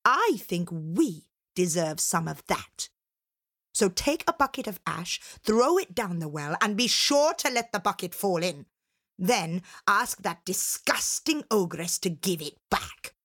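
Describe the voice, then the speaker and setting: Envious fairy-tale mum, female reader, commanding and grasping, with animated swings in pitch. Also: a little slow, heavily acted. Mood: greedy, bossy. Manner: scolding, commanding. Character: envious, bossy, greedy mother of a girl.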